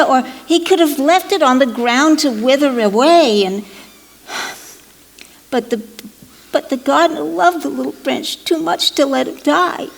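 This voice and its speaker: High-voiced, unhurried woman storyteller. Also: rough recording. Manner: expressive, deliberate, with playful asides. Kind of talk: theatrical children's parable narration.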